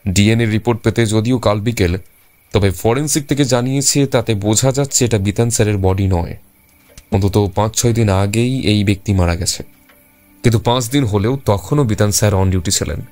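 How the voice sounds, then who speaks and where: A man acts an earnest junior police officer in a detective audio drama. His voice sits a little low and he speaks deferentially to his superior, with music underneath. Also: fairly quick, darkish tone, lightly acted. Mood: startled, urgent. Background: background music.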